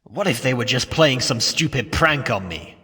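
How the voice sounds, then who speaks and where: A man's voice: medium pitch, lively intonation, normal speed, bright, forceful tone, breathy edge, heavily acted. A man voicing a frightened, desperate young male pony in an acted horror story reading, with a panicky, stammering manner. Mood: bewildered.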